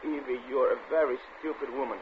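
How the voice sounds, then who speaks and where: An actor playing a wry, guarded soldier of fortune in a 1940s radio drama. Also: male voice, mid-range voice, steady tone, brisk, close to an everyday voice. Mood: grim. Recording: tinny, noisy old radio recording.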